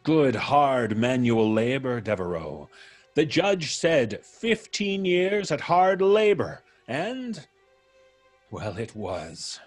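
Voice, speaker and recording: A man's voice: medium pitch, big pitch swings, slow pace, soft background music. An actor playing a vengeful ex-convict blackmailer in old-time radio style, taunting and mock-polite as he threatens. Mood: taunting, menacing.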